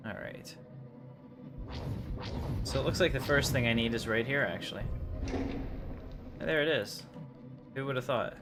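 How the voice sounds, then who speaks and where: A man's voice: mid-range voice, unhurried, long pauses, over music. A man chatting casually with viewers as he livestreams and comments on his gameplay. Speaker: game livestreamer. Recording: steady noise behind the voice.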